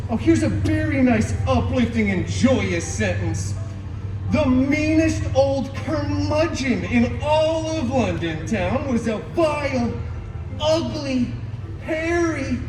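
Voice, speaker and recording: A man's voice: very high voice, background noise, full character voice. A man playing a cheeky, sarcastic superhero who tells a parody storybook tale live, with theatrical asides. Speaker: costumed character storyteller. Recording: echoey venue, distant, music behind.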